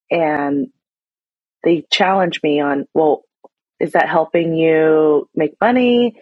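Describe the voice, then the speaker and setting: A woman's voice: low voice, slightly rough, no room sound. A woman from the United States, a nonprofit founder telling her story earnestly in an interview. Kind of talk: interview conversation. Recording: dull lo-fi audio.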